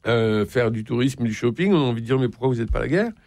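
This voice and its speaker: A man's voice: mid-range voice, expressive, rapid-fire, a little mellow, dry recording. A man hosting a literary interview, talking and reading book passages aloud. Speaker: interviewer, literary show host.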